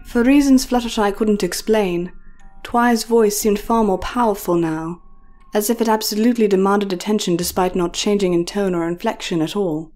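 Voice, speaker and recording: Mellow woman narrating a story. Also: medium pitch.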